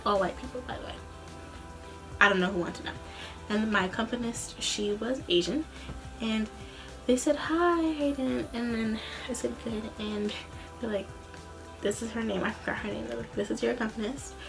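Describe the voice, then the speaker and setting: A woman's voice: on the high side, normal speed, gravelly, pauses often, over music. A young adult woman speaking as a vlogger in a casual, rambling, informal storytelling way. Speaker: vlogger, student singer.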